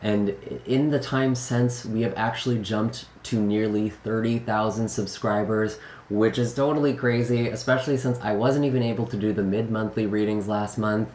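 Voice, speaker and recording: A man with a slightly raspy, lowish voice talks to his viewers in an earnest, unhurried way, pausing on fillers as he goes. He is getting over an illness. The sound is echoey, with faint room hiss behind it. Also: fairly even intonation. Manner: gentle, earnest, many fillers. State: recovering from illness. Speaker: tarot reader. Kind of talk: casual channel intro.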